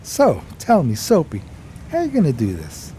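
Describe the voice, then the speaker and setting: Eager 1920s New York drifter, slangy, hesitant, mellow tone, very swooping pitch, radio play. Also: male voice, wildly swooping pitch, normal speed, slight background noise, low-quality recording. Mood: puzzled. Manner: slangy, hesitant, colloquial storytelling. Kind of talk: radio play acting and first-person narration.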